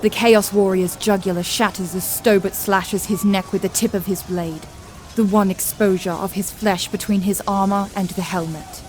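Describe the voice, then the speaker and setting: A woman's voice: mid-range voice, steady tone, moderate pace. A woman narrating a scripted fantasy audio drama in a grave, vivid storytelling manner.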